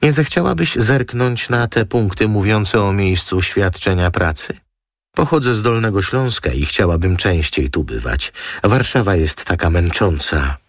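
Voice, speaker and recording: A man's voice: fairly low pitch, sing-song, moderate pace, dry recording, clean studio sound, pitched above the performer's own voice. A man voicing a gushing, theatrical young female model with a cooing, coquettish manner in an audiobook. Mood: breezy complaining.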